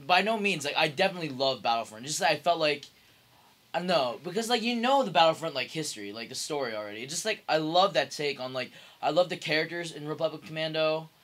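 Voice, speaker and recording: Higher-voiced young man, casual and emphatic. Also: expressive, fast, a bit bright. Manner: emphatic, rambling, casually swearing. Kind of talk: casual group chat about games.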